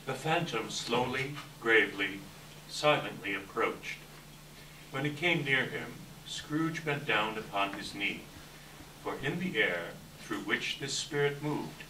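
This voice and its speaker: A man narrates a story with a lively, swooping delivery and a bright, forceful tone. He is reading at a staged event, picked up from a distance in an echoey room. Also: medium pitch, slow. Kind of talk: scripted story narration at readers theater. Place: indoor live staged reading. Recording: echoey room, distant mic, faint noise.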